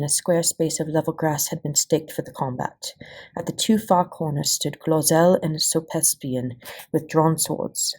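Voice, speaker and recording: Woman, low creaky voice, husky, expressive children's audiobook reading, dramatic delivery. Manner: dramatic, puts on voices for dialogue. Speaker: volunteer story reader.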